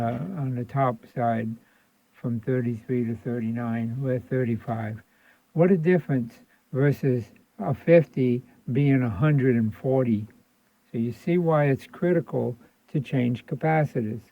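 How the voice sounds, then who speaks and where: A man's voice: medium pitch, creaky, no echo. Man in thin, phone-like audio, tutoring informally. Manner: informal, thinking aloud, repeating points. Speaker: electronics repair instructor. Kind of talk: hands-on tutorial narration, casual and explanatory. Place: indoor electronics workbench. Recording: thin phone-like band-limited sound.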